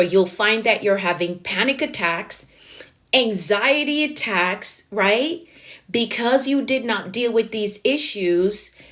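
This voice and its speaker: A woman's voice: mid-range voice, a bit bright. A woman coach talking on a live stream, encouraging and emphatic, repeating her key phrases and answering viewers' comments. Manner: encouraging, motivational. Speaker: productivity coach.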